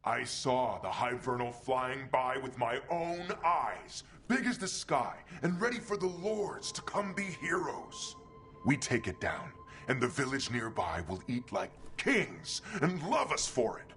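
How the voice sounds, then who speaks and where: A man's voice: medium pitch, sing-song, unhurried, fairly bright tone, faint music behind. A man playing a boastful, reckless treasure hunter in a fantasy video game, theatrical and bragging. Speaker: voice actor. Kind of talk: video game cutscene acting.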